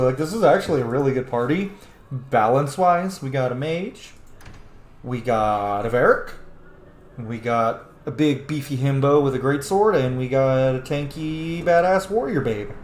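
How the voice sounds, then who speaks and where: Young dude gaming commentary, swears a lot, casual n punchy, echoey room. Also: male voice, medium pitch, animated, normal speed, a little mellow. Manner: sweary, informal, emphatic. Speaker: gaming video host. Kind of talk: casual let's-play commentary.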